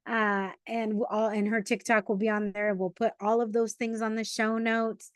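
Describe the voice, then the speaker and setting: Woman podcast host, lively storyteller, slightly high voice, dry sound. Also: moderate pace, no room sound. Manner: lively storyteller, mixes in Spanish words. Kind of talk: casual interview chat.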